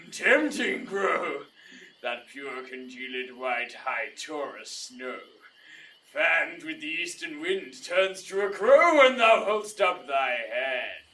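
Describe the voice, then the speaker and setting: A man's voice: a little high, pushed and bright, far from the mic, slight background noise, full character voice. An actor playing a besotted young suitor in a Shakespearean audio drama, declaiming his verse in an extravagant, theatrical way.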